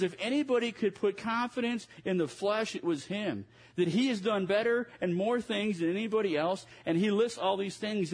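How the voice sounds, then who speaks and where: A man's voice: high-pitched, breathy edge, poor audio. A man preaching a sermon in a conversational way, mixing scripture reading with self-mocking asides. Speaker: pastor.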